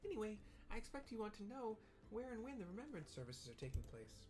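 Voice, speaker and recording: A man's voice: sits high, big pitch swings, fast talker, a little mellow, soft background music, voice pushed up. A man voicing a small, cheerful young woman helper in a video game, chatty and eager, read aloud from on-screen dialogue. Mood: chirpy.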